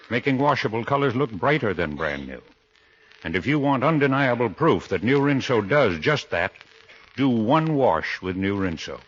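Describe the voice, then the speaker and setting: Male radio announcer, lowish voice, polished earnest sales delivery, 1940s commercial, dull recording. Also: a bit bright. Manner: polished, formal, earnest pitchman.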